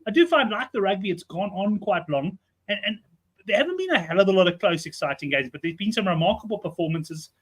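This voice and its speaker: A man in his fifties hosts a rugby betting chat show. His voice sits high and the words tumble out quickly. He keeps things friendly and chatty. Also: lively intonation, a bit bright, breathy edge. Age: 50s. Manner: chatty, friendly, fast stream of talk.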